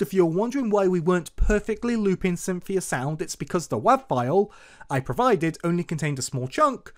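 A man hosting an informal programming tutorial as a voice-over, playful and lightly sarcastic in manner. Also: high voice. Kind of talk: informal screen-recorded tutorial narration. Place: voice-over for screen recording.

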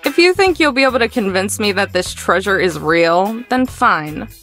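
A woman's voice: mid-range voice, lively intonation, moderate pace, a bit bright, soft background music, lightly acted. A woman voicing a grumpy, skeptical young woman in a visual novel, curt and dry. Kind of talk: visual novel character voice acting.

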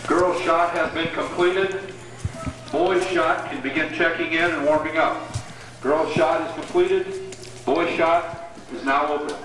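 A man's voice: on the high side, steady tone, a bit bright. Distant echoing PA announcer man. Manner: measured, announcing. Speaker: meet announcer. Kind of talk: public address announcements. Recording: distant PA speaker echoing outdoors.

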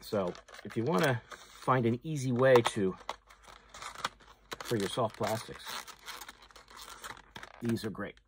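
A man's voice: medium pitch, lively intonation, slow pace, long pauses, noisy background. A man, hosting a fishing channel and chatting casually through a hands-on demonstration, full of fillers and restarts. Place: tackle shop or room with walls of packaged lures, indoors.

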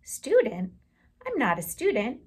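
A woman's voice: fairly high pitch, huge pitch swings, unhurried, darkish tone, husky, exaggerated voice. A woman voicing a sleepy, puzzled young male dog who talks in a mild, polite way.

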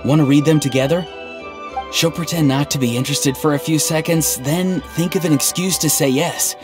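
An actor playing an earnest, odd, melancholy young man in a video game, speaking in a halting, stiffly sincere deadpan. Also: on the high side, close to an everyday voice. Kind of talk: video game dialogue acting. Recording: game music underneath. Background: background game music.

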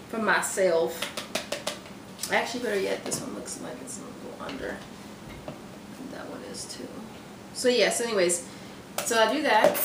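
A young woman vlogging casually about meal prep in her home kitchen, chatting with lots of fillers and restarts. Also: mid-range voice. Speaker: fitness vlogger. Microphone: camera on counter a few feet away. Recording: roomy, distant, noisy camera audio.

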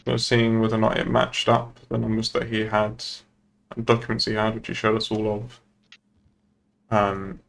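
A man improvises as a police officer in an online roleplay game. His voice sits fairly low and stays very flat, with a gravelly creak. He explains things in formal, procedural terms, with faint noise and keyboard clicks behind him. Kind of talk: improvised in-character game dialogue.

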